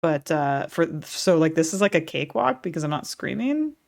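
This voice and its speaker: A woman's voice: sits low, big pitch swings, moderate pace, vocal fry. A young woman chatting casually as she streams a game and reads its dialogue aloud, laughing often and restarting her sentences.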